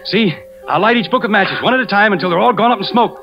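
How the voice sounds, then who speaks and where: An actor playing a troubled husband and fire inspector, who moves between brooding narration and intense dialogue in a 1940s radio suspense play. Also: male voice, high voice, fast, gravelly. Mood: feverish, insistent. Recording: dull 1940s radio recording with music.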